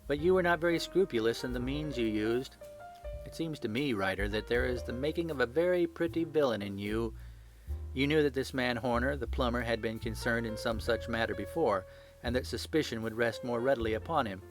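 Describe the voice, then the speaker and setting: A man playing a confident gentleman detective in an audiobook, speaking with brisk authority and dry wit. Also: mid-range voice, soft background music, lightly acted, rough recording. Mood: commanding. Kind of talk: audiobook character acting.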